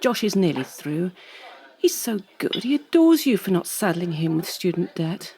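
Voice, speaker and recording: An actress playing an anxious, mercenary middle-aged wife in an audio drama, with a husky voice. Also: female voice, fairly low pitch, big pitch swings, normal speed, a little mellow, a little hiss or hum. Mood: reassured, wheedling.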